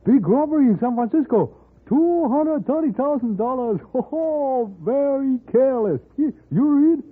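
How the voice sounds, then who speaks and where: Casting: comic porter, extremely high voice, broken English, exclamatory, muffled vintage radio sound. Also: male voice, expressive, a little slow, breathy edge, full character voice. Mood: excited. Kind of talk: 1950s radio comic-relief acting. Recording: muffled old radio recording.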